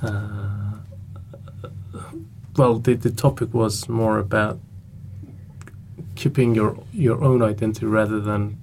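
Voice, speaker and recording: Slow, gravelly man. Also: lowish voice, fairly even intonation, darkish tone, a little hiss or hum. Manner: hesitant, many fillers. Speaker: panel guest.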